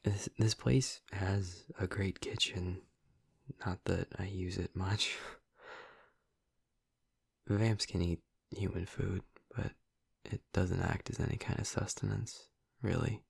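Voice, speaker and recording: A man playing a gentle, flirty, earnest vampire in an intimate audio roleplay, murmuring softly and hesitantly in a breathy, gravelly voice. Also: low-pitched, little pitch movement, no room sound, studio quality, exaggerated voice. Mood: warm, inviting. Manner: soft, hesitant, halting, reassuring.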